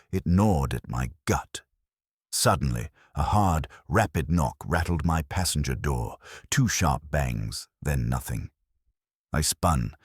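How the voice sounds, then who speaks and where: A man with an extremely low, husky voice narrates a scary tale in the first person. His delivery is suspenseful and dramatic, with lively rises and falls in pitch. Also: no echo, studio quality. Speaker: horror story narrator.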